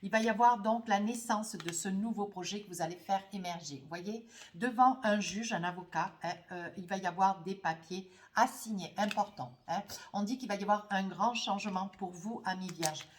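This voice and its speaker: A woman gives a spoken tarot reading while she has a cold. Her delivery is hesitant and rambling, with frequent filler tags. Her voice sits at a middling pitch. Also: moderate pace, a little hiss or hum. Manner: rambling, hesitant, full of "hein" and "voyez" tags. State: has a cold, runny nose. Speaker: tarot card reader.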